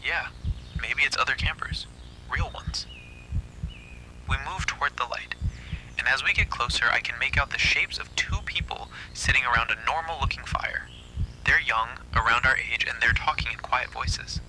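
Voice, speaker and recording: A man narrating a scripted first-person horror story in a hushed, breathy, suspenseful delivery. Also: mid-range voice, moderate pace, slight background noise, low-quality recording.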